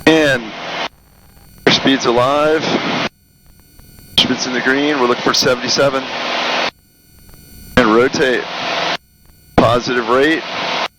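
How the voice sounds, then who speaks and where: A man's voice: medium pitch, fairly even intonation, takes it slowly, long pauses. A man working as a pilot, talking through procedures and radio calls in a matter-of-fact way on a headset. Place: small airplane cockpit. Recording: noisy small-plane cockpit, engine hum.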